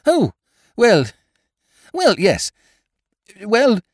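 A flustered young English gentleman, as a male reader puts him on, his voice jumping high and thin as he dithers and splutters. The bursts swing widely up and down, and the reading is close and dry with no room sound. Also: huge pitch swings, normal speed, no room sound. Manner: stammering, dithering, breathless bursts. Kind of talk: audiobook character voice.

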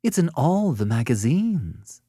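A man voicing a quick-tempered young woman artist in an audiobook story, sharp-tongued yet remorseful, with an emotional, theatrical way of talking. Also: fairly high pitch, very animated, dry recording, studio quality, voice pushed up. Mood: sweetly cutting.

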